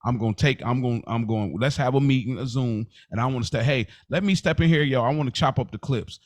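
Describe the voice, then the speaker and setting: A man's voice: mid-range voice, quick pace, no room sound. A man chatting casually as a podcast co-host, informal and slangy, often repeating his phrases.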